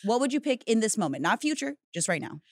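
A woman co-host puts pointed questions to her guest in a lively voice. Her pitch swings widely as she talks. The close mic sounds dry. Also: fast, no room sound. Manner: probing, pressing questions. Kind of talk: casual interview chat.

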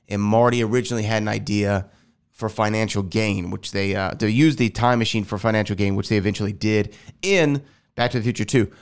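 A man's voice: on the low side, clear, resonant tone. A man hosting a solo podcast, rambling and correcting himself as he goes, with a nasal voice because he is getting over a sinus infection. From Houston, Texas. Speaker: podcast host. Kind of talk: casual solo podcast talk. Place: air-conditioned house.

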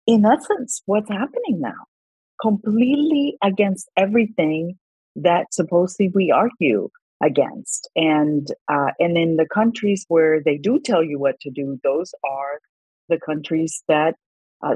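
A woman's voice: lowish voice, measured pace, a little mellow, husky, no room sound, poor audio. A middle-aged woman from Colombia, a congressional candidate, speaking earnestly in a political interview and often restarting her words.

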